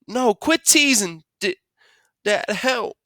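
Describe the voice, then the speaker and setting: A man's voice: high-pitched, lively intonation, slow, pushed and bright, no room sound, clean studio sound, voice pushed up, exaggerated voice. A man voicing a panicking, pleading boy with a whining, muffled mumble in an audiobook story. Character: panicking boy stuck by his lips, pleading, wailing.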